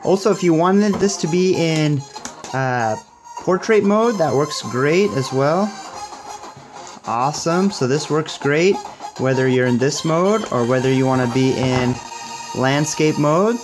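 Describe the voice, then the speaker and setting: A man's voice: on the high side, expressive, unhurried, music in the background. A young man giving a casual hands-on tech demo in an upbeat, approving manner. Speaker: tech reviewer.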